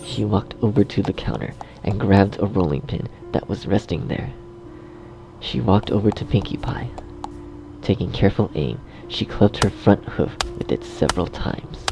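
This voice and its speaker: A man reading scripted dark fiction as a narrator in a measured storytelling manner. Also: deep voice, gravelly, rough recording.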